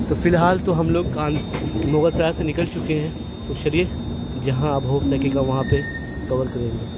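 A young man casually narrating a train trip vlog from a moving carriage at night, chatting to his viewers as he films with his phone. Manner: chatty, addresses viewers as guys. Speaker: vlogger. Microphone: handheld phone. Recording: loud train rumble behind voice.

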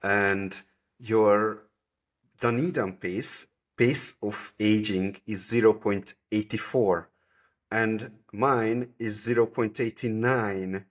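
A man's voice: fairly low pitch, fairly even intonation, extremely slow. A man around thirty hosting an interview, asking brief, matter-of-fact questions. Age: 30s. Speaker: podcast host. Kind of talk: video call interview.

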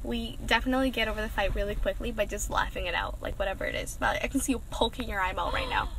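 A woman's voice: on the high side, quick pace, rough recording. A young woman chatting casually in a car while vlogging on a phone held at arm's length, bubbly and playful. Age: young adult. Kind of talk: casual vlog chat. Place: inside a car. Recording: loud road noise in a moving car.

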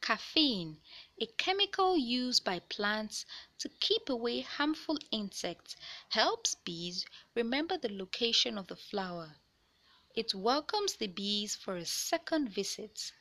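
Animated, unhurried woman reading to children. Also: fairly high pitch. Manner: deliberate, questioning storyteller delivery for young listeners. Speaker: read-aloud narrator of children's books. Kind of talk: expressive read-aloud of a children's science picture book.

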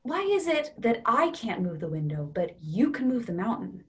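A young woman playing a matter-of-fact, gently stubborn partner in an absurdist play reading over a video call. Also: a little high, very animated, normal speed. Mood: insistent.

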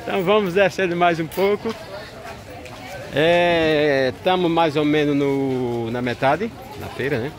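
A man with a Northeastern Brazilian accent narrating a relaxed walking tour of a street market, chatting with vendors. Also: on the high side. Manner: chatty, greets vendors warmly, relaxed unhurried commentary. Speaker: vlogger touring a street market. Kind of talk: casual walking vlog narration. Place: busy outdoor street market. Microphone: handheld camera mic while walking. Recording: crowded outdoor street market. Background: market crowd chatter.

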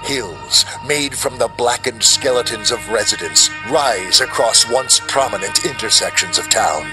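A man's voice: medium pitch, lively intonation, measured pace, poor audio. A man reading scripted, dramatic narration as the narrator of an audio drama. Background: music.

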